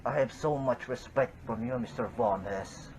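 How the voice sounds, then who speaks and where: A man from the Philippines, a pro wrestler cutting a slow, deliberate, menacing promo against a rival. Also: medium pitch, a little mellow, a little hiss or hum, rough recording.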